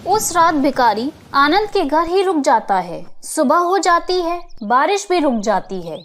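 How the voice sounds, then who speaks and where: ऊँची, उतार-चढ़ाव वाली आवाज़ की महिला कथावाचक. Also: female voice, high voice, sing-song, unhurried, a bit bright. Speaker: narrator. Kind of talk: scripted children's story narration.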